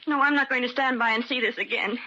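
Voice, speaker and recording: A high, distraught young wife. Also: female voice, pushed and bright, highs cut off. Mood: desperate pleading. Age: young adult. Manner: emotional, halting, pleading. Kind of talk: vintage radio drama acting. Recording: crackly band-limited old radio recording.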